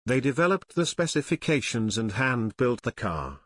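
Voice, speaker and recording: Dry, measured male narrator. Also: mid-range voice, very animated, fairly bright tone, no echo. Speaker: narrator reading practice passages. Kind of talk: scripted read-aloud narration.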